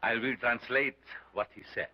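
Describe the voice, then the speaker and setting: A man playing a booming grandiose showman doctor. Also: normal speed, background noise, lightly acted. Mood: solemn. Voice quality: theatrical, booming, declamatory. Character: grandiose male carnival showman scientist. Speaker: sideshow doctor presenting an ape.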